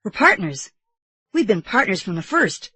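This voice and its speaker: A woman's voice: medium pitch, big pitch swings, normal speed, pushed and bright, slightly rough, dry recording, voice pushed up, exaggerated voice. A woman playing a grave, insistent young English boy with secrets, in a storybook reading. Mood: pleading, earnest.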